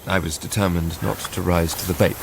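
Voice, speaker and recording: A man's voice: deep voice, level tone, fairly quick, creaky, background noise. An actor playing a guarded, self-absorbed, conflicted young lover in a radio drama, hesitant and confessional as he narrates and talks. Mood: wary. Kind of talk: radio drama acting with first-person narration.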